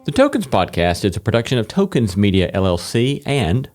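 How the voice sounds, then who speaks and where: A man's voice: on the low side, big pitch swings, brisk, darkish tone. A man hosting a podcast, reading scripted closing credits. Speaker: podcast host. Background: acoustic guitar music.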